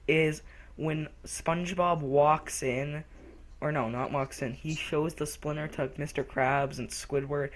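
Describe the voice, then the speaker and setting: A young man co-hosting a casual cartoon review who rambles and loudly acts out lines from the show. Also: a little high. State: recently sick. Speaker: co-host reviewer.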